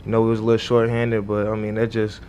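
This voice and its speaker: A man's voice: monotone, quick pace, a little mellow, dull audio. A young man, a college athlete, chatting casually as an interview guest. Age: young adult. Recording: background noise with low rumble.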